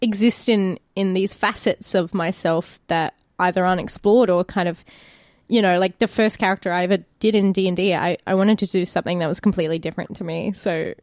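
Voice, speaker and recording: A woman's voice: on the low side, steady tone, fairly quick, darkish tone, no echo, studio quality. A woman with an Australian accent in casual podcast chat, speaking informally and swearing freely. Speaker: actor and podcast player.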